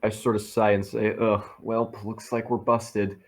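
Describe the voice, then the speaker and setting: A man's voice: on the low side, flat delivery, unhurried. A man playing a tabletop roleplaying game, casually narrating and speaking for his character.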